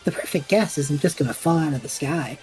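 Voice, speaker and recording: Casting: woman as wisecracking extrovert male adventurer, lowish mellow voice, lively delivery, audio drama. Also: brisk, soft background music, lightly acted, low-quality recording. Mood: breezy. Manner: flippant, wry, theatrical. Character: impulsive, chatty extrovert young male traveller, showman.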